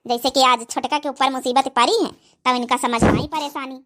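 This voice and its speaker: Woman as high, bright Awadhi mother-in-law. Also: steady tone, fairly quick. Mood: moralising. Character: practical, concerned rural mother-in-law, older woman. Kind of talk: cartoon comedy dialogue.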